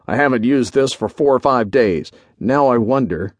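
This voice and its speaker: A man voicing a gruff, short-tempered general and intelligence chief who barks clipped orders in a pulp adventure story. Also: normal speed, dry recording, natural voice. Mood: gruff, musing. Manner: barking, booming, clipped orders.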